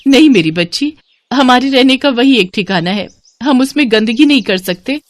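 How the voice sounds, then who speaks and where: A woman's voice: on the high side, expressive, moderate pace, dry recording, voice pushed up, lightly acted. A woman voicing a poor young mother and seamstress who pleads tearfully for help. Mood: pleading.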